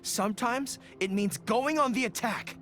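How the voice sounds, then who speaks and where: A man's voice: extremely high pitch, unhurried, a bit bright, soft background music. An actor playing an earnest young male army general in a video game, formal, dutiful and easily embarrassed. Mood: earnest, resolute. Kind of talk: video game character voice lines.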